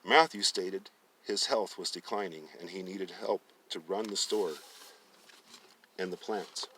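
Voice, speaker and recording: A man's voice: sits low, steady tone, unhurried, bright, forceful tone, breathy edge, far from the mic. A man narrating fiction aloud as a storyteller, often stumbling and rereading phrases. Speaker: storyteller reading a book aloud.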